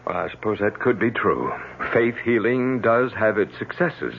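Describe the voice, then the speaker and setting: Low-voiced, concerned radio drama doctor. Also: male voice, very animated, normal speed, creaky. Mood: measured skepticism. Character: protective, skeptical male family physician, measured and concerned. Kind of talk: old-time radio drama acting. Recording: noisy, tinny vintage radio recording.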